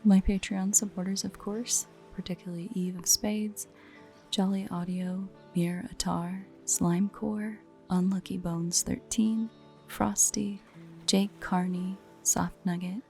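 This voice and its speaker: A woman speaking softly and casually in a low-key outro, as an audio creator addressing her listeners. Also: fairly even intonation, slow pace, creaky, soft background music.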